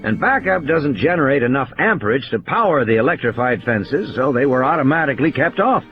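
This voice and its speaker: A man plays a troubled engineer in an audio drama. He explains things technically and with resignation, his pitch moving freely over faint music. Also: big pitch swings, fairly quick, highs cut off, lightly acted. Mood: defensive, uneasy.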